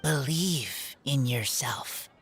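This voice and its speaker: A woman voicing an earnest wild young boy in a video game, speaking in terse, simple, halting phrases. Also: rumbling low, expressive, slight background noise, heavily acted. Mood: earnest, serious. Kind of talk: video game cutscene acting.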